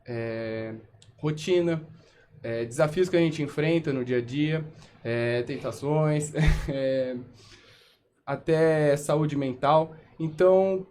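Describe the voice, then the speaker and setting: Young male podcast host, higher voice. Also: darkish tone, slight background noise. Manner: hesitant, many filler sounds. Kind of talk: casual podcast hosting.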